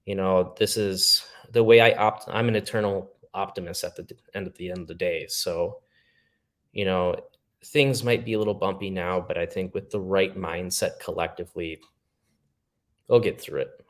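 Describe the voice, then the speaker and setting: A man's voice: low-pitched, fairly even intonation, normal speed. A man speaking as a podcast guest in casual chat, earnest and reflective with an optimistic tone.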